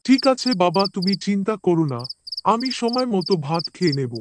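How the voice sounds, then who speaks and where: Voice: medium pitch, expressive, brisk, very noisy, natural voice. A performer voicing an obedient but careless young schoolboy son, simple and earnest, in an Islamic moral cartoon. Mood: worried, reluctant.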